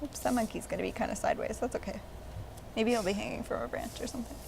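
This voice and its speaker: Gravelly-voiced woman leading a kids' craft. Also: fairly high pitch, background noise. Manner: friendly, explains slowly and simply. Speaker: librarian leading a children's craft. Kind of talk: step-by-step craft instructions.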